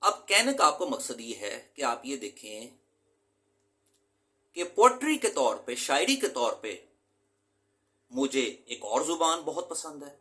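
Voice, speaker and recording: A man's voice: medium pitch, very animated, measured pace, pauses often, bright, forceful tone. A man from Pakistan, an English teacher, giving an earnest, emphatic lecture to his students and mixing English terms into his talk.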